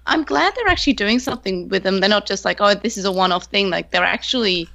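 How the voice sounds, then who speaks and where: A woman with a medium-pitched voice talks as a podcast panelist. Her delivery is casual and conversational, like chatting among fellow fans. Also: lively intonation, quick pace, creaky, fairly bright tone, highs cut off. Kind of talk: casual chat.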